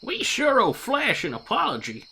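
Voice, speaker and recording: An actress playing a brisk, plain-spoken Old West townswoman with folksy speech in an audio drama. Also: fairly high pitch, huge pitch swings, vocal fry, sharp tone, breathy edge, exaggerated voice. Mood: frank. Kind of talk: western comic-book audio drama acting.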